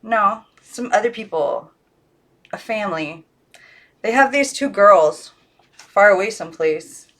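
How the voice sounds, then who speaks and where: A woman voicing a lonely young girl who speaks plainly and gently, in a storybook reading. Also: mid-range voice, expressive, a little slow, long pauses. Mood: guarded, resigned. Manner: plain, gentle, short answers. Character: Maggie, lonely young girl, tender and matter-of-fact.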